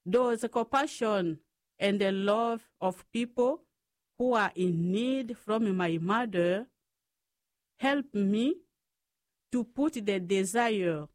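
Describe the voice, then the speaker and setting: Slow-spoken woman with a Congolese accent. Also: mid-range voice, darkish tone, no room sound, studio quality. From Democratic Republic of the Congo. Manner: halting phrases in non-native English grammar. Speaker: guest, Franciscan sister. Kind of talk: personal storytelling in an interview.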